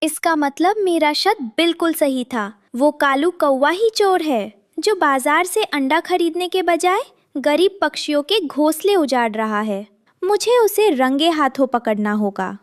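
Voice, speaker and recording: Cartoon sparrow heroine, female actor: determined, sensible, questioning, high register. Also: lively intonation, a little slow, no room sound, clean studio sound. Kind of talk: Hindi moral-story cartoon dialogue.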